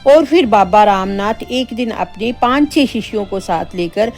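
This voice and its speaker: A woman's voice: mid-range voice, animated, a little slow, a little mellow. A woman narrating a scripted spooky story for children as a storyteller. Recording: background music.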